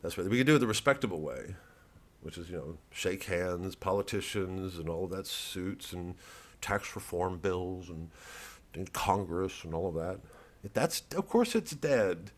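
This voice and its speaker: A man with an American accent and a lowish voice delivers a casual comedian's monologue. He wanders from one aside to the next and swears freely, as if chatting straight to his followers. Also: expressive, measured pace. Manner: rambling, digressive, profane. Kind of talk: casual vlog monologue to fans.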